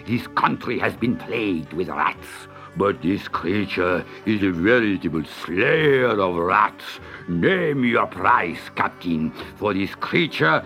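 A man voicing a grand storybook Sultan with a pompous, drawn-out, declamatory delivery. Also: lowish voice, gravelly, pushed and bright, voice pushed down, exaggerated voice. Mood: amazed, grand. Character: grand, exuberant male Sultan of a storybook palace. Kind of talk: storybook character voice.

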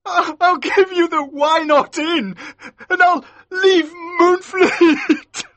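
Shrill, shaky, pompous magistrate. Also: male voice, fairly even intonation, slow, fairly bright tone, no echo, exaggerated voice. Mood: terrified pleading. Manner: pompous, pleading. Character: frightened, haughty male magistrate begging for his life. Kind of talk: audiobook character acting.

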